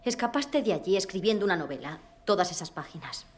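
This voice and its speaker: An actress with a Castilian Spanish accent playing a focused, skeptical female investigator in a dubbed video game, serious and measured. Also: on the low side, lively intonation, husky. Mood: probing. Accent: Spanish (Spain). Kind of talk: dramatic video game dubbing.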